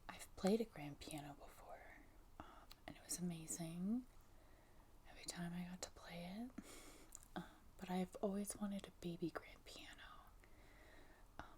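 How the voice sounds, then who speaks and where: A woman whispering gently in a casual ASMR show and tell, soft-spoken and breathy, close to the microphone. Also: on the low side, a little hiss or hum.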